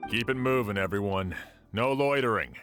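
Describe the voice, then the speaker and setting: A commanding lawman from a video game. His voice is low and moves around a lot in pitch, with a brisk, orderly authority. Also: animated, a bit bright, faint music behind. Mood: stern.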